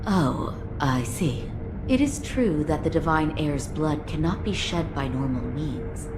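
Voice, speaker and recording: An actress playing a calm, learned physician who speaks in a measured, formal way. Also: female voice, low voice, noisy background. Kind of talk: video game cutscene acting.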